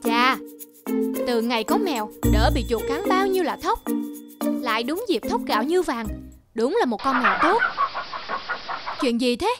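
Greedy, high-pitched cartoon rice merchant. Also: female voice, big pitch swings, moderate pace, pauses often. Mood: outraged, dismayed. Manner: grumbling, exclamatory. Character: greedy, hot-tempered middle-aged male rice merchant. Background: children's music.

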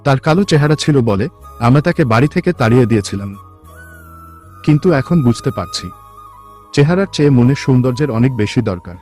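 A man's voice: medium pitch, expressive, fast, pauses often, darkish tone, faint music behind, natural voice. A man voicing a hapless young village husband in a cartoon, speaking in an earnest, plaintive way. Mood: regretful, complaining. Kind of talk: cartoon voice acting.

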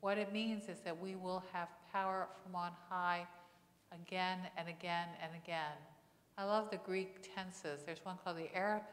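A middle-aged woman pastor preaching in a church on a lapel mic, conversational, with frequent rhetorical questions. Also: lowish voice. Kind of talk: sermon. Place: church sanctuary. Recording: long church reverb.

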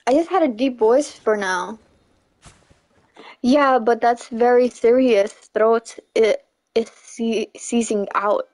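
Young female streamer, slightly high voice, casual, chatty, quick to laugh. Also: darkish tone, rough recording. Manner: chatty, laughs often, repeats "you know what I'm saying". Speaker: livestreamer. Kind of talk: casual chat while gaming, reading chat.

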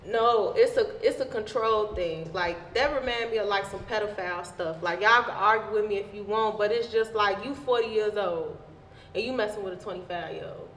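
A woman's voice: medium pitch, normal speed, fairly bright tone. Emphatic woman in an echoey, noisy room. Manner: emphatic, argumentative. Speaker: podcast host. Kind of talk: casual opinionated group discussion. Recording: echoey room with background noise.

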